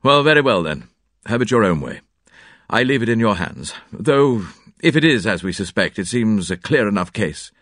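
A man voicing a hesitant, worried gentleman in a raised, fretful voice. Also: fairly low pitch, sing-song, normal speed, dry recording, studio quality.

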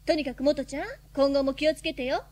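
Woman as a very high-voiced, bossy vampire catgirl. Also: fairly even intonation, quick pace, a little mellow, lightly acted. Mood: self-assured. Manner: haughty, scolding. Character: bossy, self-assured half-vampire, half-catfolk young woman. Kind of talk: radio drama acting.

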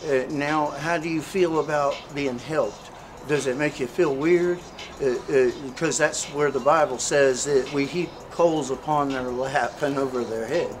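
A man's voice: a little high, unhurried. Earnest, preachy man on a noisy street. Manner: preachy, earnest, fillers like "you know". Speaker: interviewee. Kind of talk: street interview, casual storytelling.

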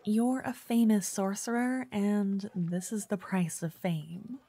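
Woman acting a soft-voiced, pushy, obsessive fangirl. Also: medium pitch, steady tone, slow pace, breathy edge. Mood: insistent, teasing. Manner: chatty, coy, insistent, slipping into whispers. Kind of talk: ASMR roleplay.

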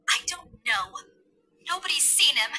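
A fickle, theatrical game teen girl who teases and sighs over lost love. Her voice is extremely high and very bright. Her delivery is showy and teasing. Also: big pitch swings, a little slow, full character voice. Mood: dramatic. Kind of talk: video game cutscene acting.

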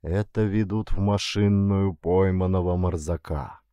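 A male narrator reads a literary tale with dramatic suspense. He takes his time over each phrase, in a fairly low voice. The sound is dry and close. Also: a little slow, clear, resonant tone, no room sound, lo-fi sound. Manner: suspenseful, expressive storytelling. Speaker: audiobook narrator, actor.

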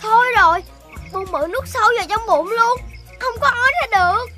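Very high kiddie cartoon puppy, southern vietnamese accent, bossy but caring, music behind. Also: brisk. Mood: urgent. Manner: earnest, instructive, taking charge. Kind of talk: Vietnamese children's cartoon dubbing.

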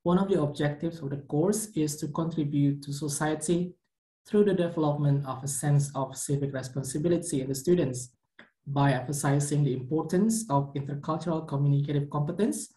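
A young man whose first language is Indonesian, a PhD candidate giving a measured conference presentation with occasional fillers. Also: fairly high pitch, fairly even intonation, darkish tone, echoey room, poor audio. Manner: careful, measured academic delivery with some fillers. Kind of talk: recorded conference presentation over slides.

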